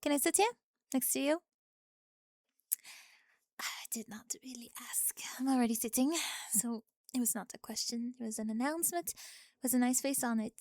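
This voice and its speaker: Woman playing a forward, high-voiced French exchange student. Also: normal speed, long pauses, a little mellow, dry recording, studio quality, natural voice. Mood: playful, candid. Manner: blunt, self-amused, searching for words. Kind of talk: ASMR roleplay acting.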